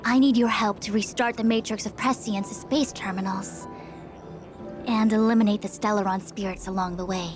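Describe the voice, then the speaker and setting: An actress playing a brisk, authoritative young female official in a video game, giving formal instructions. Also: mid-range voice, steady tone, over music, natural voice. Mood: businesslike. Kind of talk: scripted video game dialogue.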